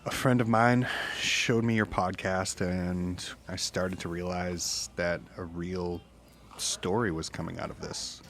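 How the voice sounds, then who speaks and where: Shaken witness telling an eerie story. Deep, unhurried, halting, naturalistic audio-drama read. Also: male voice, little pitch movement, a bit bright, full character voice. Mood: guarded, curious. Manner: halting, deliberate, uneasy. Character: haunted married truck driver recounting eerie encounters. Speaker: podcast guest, witness.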